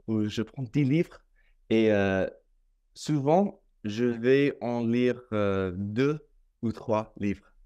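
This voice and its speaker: A young Canadian man, a native English speaker, talking in careful learned French on a video call, with many hesitant pauses. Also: medium pitch, mellow, clean tone. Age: young adult. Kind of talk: casual conversation in learned French. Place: small room at home. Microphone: laptop webcam mic on video call.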